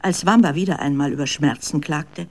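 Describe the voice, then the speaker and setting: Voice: medium pitch, a little fast. Children's radio drama narrator. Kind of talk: scripted storytelling narration for children.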